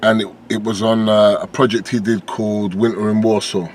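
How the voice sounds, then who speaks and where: A low-pitched British man with a slight lisp, talking about his music in a relaxed, rambling way as an interview guest. He circles back on his words and leans on fillers. A faint hiss of background noise runs under the voice. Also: a little fast. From United Kingdom. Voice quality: deep voice with a lisp. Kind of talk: casual interview chat.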